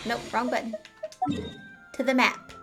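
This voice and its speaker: High voiced gamer lady chatting to herself, playful, game music pretty loud behind her. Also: flat delivery, a little slow. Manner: playful, talks to self, sound words. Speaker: gaming streamer. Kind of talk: casual playthrough commentary. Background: video game music.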